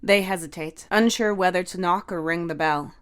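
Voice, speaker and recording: A woman's voice: fairly low pitch, moderate pace, smooth. A woman reading scripted story narration as the narrator.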